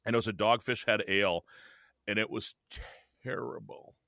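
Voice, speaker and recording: A man's voice: lowish voice, steady tone, normal speed, vocal fry, pushed and bright, dry recording, clean studio sound. A man in his fifties co-hosting a podcast, chatting casually and often repeating himself. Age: 50s. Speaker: podcast co-host. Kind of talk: casual chat.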